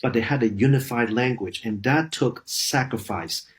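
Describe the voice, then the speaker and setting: A man's voice: medium pitch, moderate pace. A man speaking as a professor, explaining a point with rhetorical questions. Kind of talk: lecture clip.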